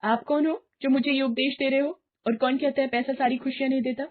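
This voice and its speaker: A woman voicing a greedy, boastful young housewife in a moral tale, excitable and insistent, in a muffled recording. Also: high-pitched, brisk, voice pushed up, natural voice. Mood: defiant, eager.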